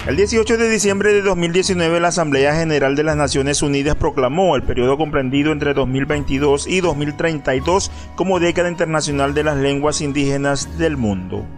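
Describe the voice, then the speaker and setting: Male newsreader, higher-pitched, music under. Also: brisk, hardly any pauses. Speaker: news anchor. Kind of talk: scripted news reading.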